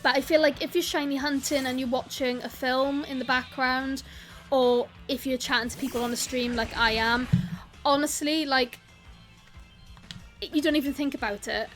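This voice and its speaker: A young woman with a South Welsh accent chats in a bright, high voice while streaming a game. She rambles between topics, laughs a lot and welcomes viewers by name. Quiet game music plays in the background. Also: steady tone, normal speed. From South Wales. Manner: chatty, giggly, greets viewers, rambling asides. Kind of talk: casual live-stream chat while gaming.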